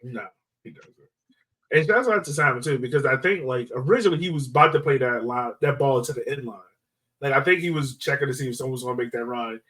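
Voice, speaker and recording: A man's voice: mid-range voice, fast, fairly bright tone, low-quality recording. A man co-hosting a sports podcast, chatting casually like a fan in loose, informal phrasing peppered with "like". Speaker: soccer podcast host.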